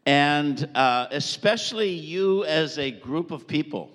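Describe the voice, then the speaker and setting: Middle-aged male preacher, light higher voice, jovial, hall reverb. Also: animated, a bit bright. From Canada. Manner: jovial, joking, pausing for translation. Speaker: visiting preacher. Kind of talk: sermon with live interpreter. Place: church hall.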